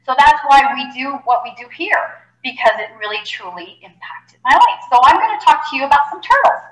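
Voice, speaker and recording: A middle-aged woman from Costa Rica, a zoologist giving a live animal talk, chatty and animated, with many fillers and restarts, on a headset mic indoors. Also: high-pitched, brisk, echoey room, poor audio. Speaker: zoologist educator. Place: indoor animal facility room.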